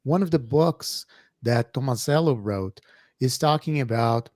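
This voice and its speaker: A man's voice: medium pitch, unhurried, a little mellow, no room sound. Brazilian man, dry close sound. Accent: Brazilian Portuguese. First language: Portuguese. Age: middle-aged. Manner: hesitant, many fillers and restarts. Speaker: co-host, professor. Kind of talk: casual educational podcast chat.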